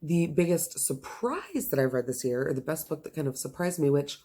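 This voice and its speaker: Woman, low voice, casual book reviewer, enthusiastic, gushing, emphatic phrasing, chatty vlog delivery. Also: very animated, normal speed, mellow.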